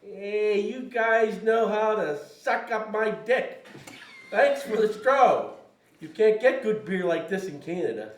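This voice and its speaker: High-pitched, cranky, foul-mouthed shopkeeper. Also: male voice, lively intonation, slow. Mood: gruffly jovial. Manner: profane, cantankerous, barking insults. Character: foul-mouthed, cranky, very thin Canadian bait shop owner. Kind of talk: comedic screenplay table read. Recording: echoey room, distant from mic.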